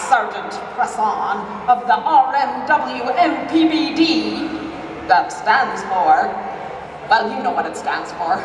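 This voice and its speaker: A pompous, heroic police sergeant, played by a woman, declaiming slowly with big swoops in pitch. It sounds like a stage melodrama heard from far off, very echoey and noisy. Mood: triumphant. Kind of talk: stage melodrama reader's theater.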